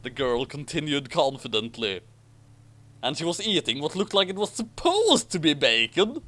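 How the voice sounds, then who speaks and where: A man narrates a story in a highly animated, fairly high voice. His reading is expressive and sardonic, and he often steps out of it to comment. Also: normal speed. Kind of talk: story narration with mocking asides.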